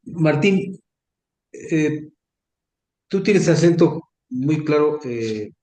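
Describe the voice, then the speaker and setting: A man's voice: mid-range voice, warm tone. A Mexican man asking a guest questions in a friendly, chatty way during a conversational interview. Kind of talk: conversational interview on a video call. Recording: echoey room.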